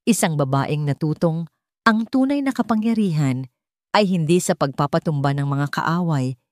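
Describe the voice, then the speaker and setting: A woman with a Filipino accent narrating a dramatic scripted story in measured, deliberate phrases. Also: low-pitched, animated, no echo, studio quality.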